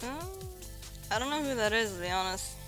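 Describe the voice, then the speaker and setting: A woman making quiet, laughing asides while chatting casually on a live stream. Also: fairly high pitch, animated, brisk. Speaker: stream co-host. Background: music.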